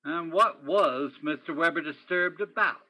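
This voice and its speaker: An actor playing a terse police detective in an old-time radio crime drama, narrating and questioning in clipped, theatrical phrases. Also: male voice, on the high side, normal speed, sharp tone, rough recording. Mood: blunt, probing. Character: terse male police detective narrating his own case.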